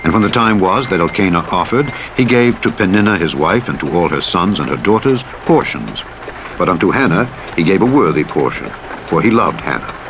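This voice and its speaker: A man giving a solemn, measured and expressive scripted reading of the Bible, in the manner of an audiobook narrator. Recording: noisy, with vehicle and rain-like rumble. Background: truck simulator game driving noise.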